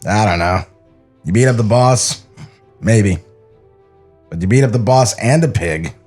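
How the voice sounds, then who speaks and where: A man voicing a weary, sincere animation director in a halting, understated table-read performance, with music behind. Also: on the low side, a little mellow, breathy edge. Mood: quietly concerned. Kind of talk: acted screenplay table read.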